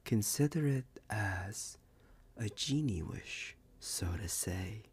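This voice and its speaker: A man voicing a possessive, smug werewolf alpha in an ASMR roleplay, husky and breathy, often whispering, with teasing deliberate pauses. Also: lowish voice, expressive, darkish tone, exaggerated voice. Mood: smug, doting.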